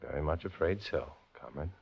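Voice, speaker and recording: An American actor in a 1950s radio drama playing a cool, guarded undercover agent with a clipped, measured, insinuating delivery. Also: male voice, rumbling low, animated, creaky, heavily acted. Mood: quietly threatening. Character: cool, guarded male undercover agent posing as Communist blackmailer. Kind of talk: 1950s American radio drama acting with first-person narration. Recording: dull, lo-fi old radio recording, music behind.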